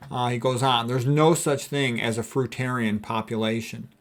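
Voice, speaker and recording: A man's voice: medium pitch, flat delivery, moderate pace. A man with a mid-range voice presents health material informally. He alternates between reading quotations aloud and adding his own folksy commentary. His delivery is emphatic, and he repeats key statements to drive them home. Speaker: health educator presenting book quotes.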